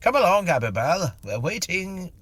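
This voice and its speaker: A man voicing a prim gentleman ghost, indignant and sarcastic, in a storybook reading. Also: high-pitched, very animated, normal speed, breathy edge, dry recording, voice pushed up, exaggerated voice. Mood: withering sarcasm.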